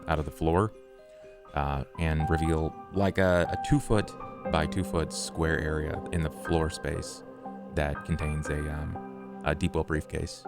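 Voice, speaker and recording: Very deep, gravelly man. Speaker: player in a tabletop roleplay podcast. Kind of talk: improvised in-character roleplay and narration.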